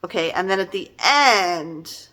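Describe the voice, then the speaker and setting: A middle-aged woman giving a casual, conversational book review in an animated, exasperated and emphatic manner. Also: fairly low pitch, a little slow.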